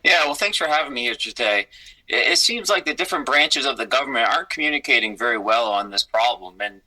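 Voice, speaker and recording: A man answering interview questions as a guest, earnest and deliberate, speaking in policy terms. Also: medium pitch, animated, bright, forceful tone, poor audio.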